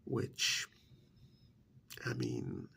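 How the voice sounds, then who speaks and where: Man playing a slow, creaky, soft-spoken vampire emissary. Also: rumbling low, wildly swooping pitch, slight background noise, exaggerated voice. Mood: cold, quietly threatening. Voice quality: breathy, half-whispered, creaky. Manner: slow, deliberate, condescending, hesitant pauses. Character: calm, menacing male vampire emissary of a queen. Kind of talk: soft-spoken ASMR role-play.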